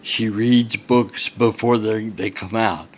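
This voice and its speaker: A man in his sixties hosting a podcast, asking questions with halting starts and fillers. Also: on the low side, steady tone, slight background noise. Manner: halting, many uhs and restarts. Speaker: podcast host. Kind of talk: casual podcast interview.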